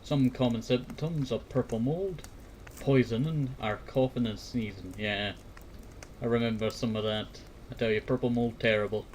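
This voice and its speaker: A man's voice: mid-range voice, steady tone, normal speed, darkish tone, a little hiss or hum. A man chatting casually in a meandering way, often repeating himself and sometimes reading bits aloud. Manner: meandering, repeats words and verbal tag phrases. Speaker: host of a fireside talk series. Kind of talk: rambling casual chat, some reading aloud.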